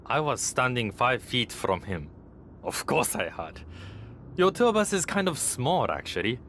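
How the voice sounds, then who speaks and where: A man's voice: medium pitch, big pitch swings, unhurried, fairly bright tone, slight background noise. A man playing a cocky, vain young wrestler in a video game cutscene, smug and self-absorbed. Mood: flippant. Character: cocky, vain, social-media-obsessed young male wrestler.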